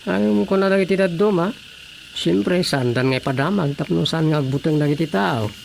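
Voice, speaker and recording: A man's voice: a little high, normal speed, creaky, slight background noise. A man with a Filipino accent playing a sensible village man who reasons patiently, in radio drama style. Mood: persuading.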